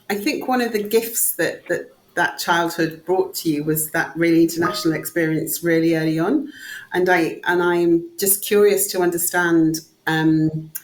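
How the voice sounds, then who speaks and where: Polished woman podcast host, lowish voice. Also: poor audio. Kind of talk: scripted intro then interview questions.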